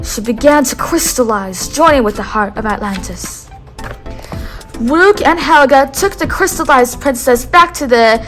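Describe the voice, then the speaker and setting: A woman reads a picture book aloud with a high voice. She has a teacherly manner and stops now and then to have the listener repeat key words. Soft music plays faintly behind her. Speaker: read-aloud host.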